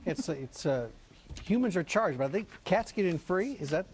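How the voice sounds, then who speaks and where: A man's voice: high voice, lively intonation, moderate pace, darkish tone, a little hiss or hum. A man co-anchoring a morning show from a TV studio, trading light jokes. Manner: joking. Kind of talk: light on-air banter.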